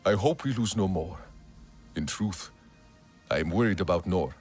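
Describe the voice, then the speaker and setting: An actor playing a grave, vengeful male alien warrior in a video game, speaking in a formal, solemn and deliberate way. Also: low-pitched, animated, a little mellow, soft background music. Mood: brooding, resolute. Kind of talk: video game character acting.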